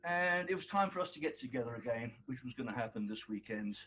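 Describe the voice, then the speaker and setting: A man's voice: mid-range voice, expressive, fast, a bit bright, slight background noise, poor audio. A man in his sixties from South Africa, giving an informal eulogy at a wake with a big voice and rambling, fond storytelling full of restarts. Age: 60s.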